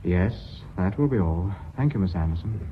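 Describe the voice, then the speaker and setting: An actor with a British accent playing an insistent company director in a radio play, clipped and politely pressing. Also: male voice, deep voice, lively intonation, moderate pace. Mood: dry, probing. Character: brisk, insistent male company director running a tense board meeting. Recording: dull radio drama recording, faint noise.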